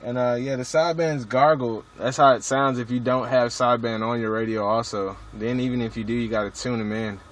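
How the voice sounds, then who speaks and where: A man's voice: mid-range voice, fairly even intonation, brisk, few pauses. A man chatting casually over two-way radio from a vehicle, with slangy, swearing, jargon-heavy talk. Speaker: CB radio hobbyist filming his radio chat. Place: inside a vehicle at a truck stop. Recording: noisy mobile recording.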